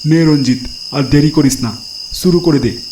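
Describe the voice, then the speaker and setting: A man's voice: on the high side, normal speed, noisy background, close to an everyday voice. A man voicing a bold, impatient village man who coaxes and pushes his friend in a horror cartoon. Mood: urging, confident. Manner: coaxing, pushy. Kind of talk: Bengali horror cartoon dialogue.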